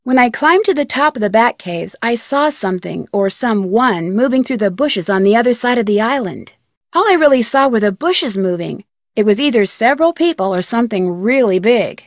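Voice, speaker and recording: Young woman sleuth, fairly high voice, earnest, polite, adventure game dialogue, close dry sound. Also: dry recording. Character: inquisitive, polite young woman amateur detective. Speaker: video game lead character.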